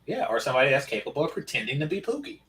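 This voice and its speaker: A man's voice: mid-range voice, big pitch swings, racing, fairly bright tone. A man from Oakland chatting casually as a podcast co-host, telling stories in a wry, matter-of-fact way. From Oakland, California. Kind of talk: casual chat.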